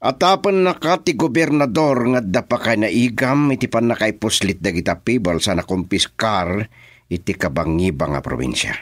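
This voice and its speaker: A man acting a shrewd Ilocano boss in a radio drama. His low voice swoops widely and stretches out phrases as he deals. The sound is dry. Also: animated, normal speed, no room sound. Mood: brooding, scheming.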